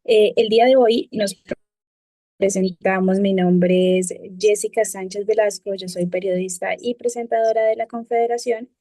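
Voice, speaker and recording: Woman presenter doing a formal interview, medium pitch, really dry sound no room. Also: fairly even intonation, normal speed, dark tone, no echo. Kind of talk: formal event hosting and interview.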